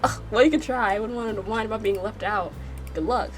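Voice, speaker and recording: A woman's voice: mid-range voice, quick pace, soft background music, lightly acted. A woman voicing a blunt, exasperated bookish man in a visual novel, in a dismissive, scolding manner.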